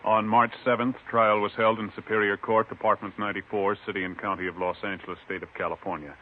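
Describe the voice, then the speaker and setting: A man announcing in a formal, measured, scripted 1950s radio style. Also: on the low side, level tone. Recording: muffled vintage radio recording, faint music.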